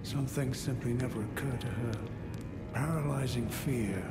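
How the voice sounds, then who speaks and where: An actor playing a courteous, world-weary old warrior knight in a fantasy video game, speaking formally and deliberately. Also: male voice, mid-range voice, close to an everyday voice. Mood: wry, subdued. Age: older. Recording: echoey, loud music behind.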